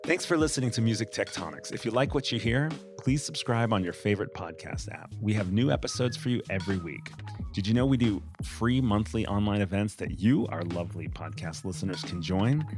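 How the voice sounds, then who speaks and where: A man's voice: on the low side, expressive, brisk. A male podcast host reading a scripted outro in an upbeat, friendly way. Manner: upbeat, friendly promotional read. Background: music bed.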